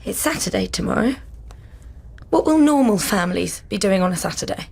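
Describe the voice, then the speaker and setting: A woman's voice: mid-range voice, expressive, brisk, gravelly, breathy edge. A young woman playing a protective, exasperated sister who takes charge in a radio drama. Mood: organising. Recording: loud music under the voice.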